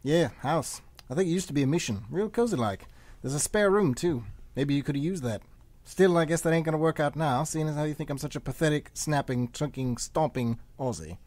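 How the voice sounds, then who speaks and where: A man plays an eager, friendly traveller who is easily hurt. His voice sits on the high side and is recorded close and dry. He talks colloquially and earnestly, in the manner of a radio play. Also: fairly quick, a little mellow, no room sound, lightly acted. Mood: matter-of-fact, wry. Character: friendly, wounded, eager Australian traveller Len, newly arrived volunteer teacher. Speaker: radio theatre actor. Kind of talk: stage-play dialogue for radio theatre.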